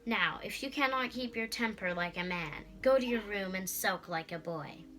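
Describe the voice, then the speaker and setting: A woman's voice: medium pitch, moderate pace, bright, forceful tone, soft background music, lightly acted. A young female reader voicing a stern, commanding older lord in a storybook. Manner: firm, commanding. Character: stern older lord scolding his hot-headed nephew.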